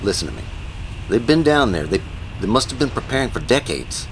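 Man playing a shaken small-county sheriff, swooping pitch. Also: very animated, fairly quick, a little hiss or hum, close to an everyday voice, low-quality recording. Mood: shaken, weary. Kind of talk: audio drama dialogue over radio.

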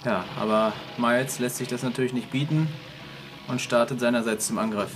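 A man's voice: mid-range voice, fairly even intonation, brisk. A man co-hosting a commentary, explaining as he goes. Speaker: commentary co-host. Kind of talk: explanatory commentary over a cartoon. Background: cartoon soundtrack music.

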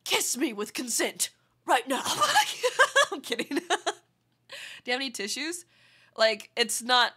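A woman's voice: high-pitched, wildly swooping pitch, slow, pushed and bright, husky. A congested, nasal-sounding woman chatting casually and playfully with viewers as a livestreamer, giggly and affectionate. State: congested from illness. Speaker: streamer.

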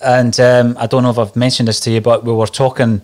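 A man's voice: medium pitch, flat delivery, brisk. A man hosting a music interview show over a video call, asking questions and telling anecdotes. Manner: friendly, storytelling questions. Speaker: show host. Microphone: desk mic on video call.

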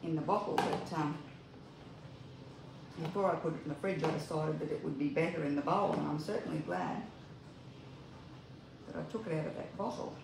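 Low, gravelly older woman in a kitchen. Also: moderate pace, long pauses. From Australia. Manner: chatty, talks to viewers while working. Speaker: cooking video host. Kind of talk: home cooking demonstration. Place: home kitchen indoors. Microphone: camera mic at a distance. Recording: echoey kitchen with appliance noise.

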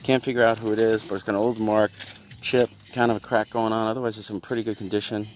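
A man's voice: fairly low pitch, little pitch movement, fast talker, low-quality recording. A man reselling finds, talking casually to a handheld phone while browsing a cluttered house, often muttering half-whispered asides to himself. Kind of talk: casual vlog commentary. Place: cluttered house at an estate sale, indoors. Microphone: handheld phone camera. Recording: loud background noise.

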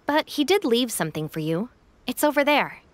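A woman's voice: on the high side, big pitch swings, normal speed. A woman voicing a sensible, level-headed young gang deputy in a video game. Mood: matter-of-fact. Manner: level-headed, matter-of-fact.

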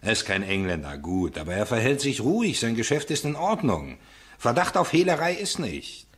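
A self-assured police inspector played by a man in a radio crime play. He talks in a very animated, middle-pitched voice, blunt and condescending. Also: normal speed, lightly acted. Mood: dismissive, smug. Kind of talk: German radio crime drama acting.

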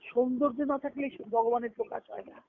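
A woman's voice: on the high side, steady tone, a little hiss or hum. A woman leading a devotional teaching on a conference call, reflective and explaining step by step, often asking a question and then answering it. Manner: recites scripture terms in a measured cadence. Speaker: spiritual discourse leader. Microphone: phone on a conference call. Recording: tinny conference-call phone line.

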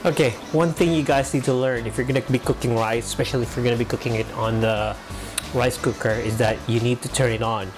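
A man's voice: medium pitch, fairly even intonation, fast talker, few pauses. Filipino guy vlogging his cooking, jokey asides, music going behind, drifts off mic a bit. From Philippines. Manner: chatty, joking asides to the camera. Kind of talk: casual chat while cooking. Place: home kitchen.

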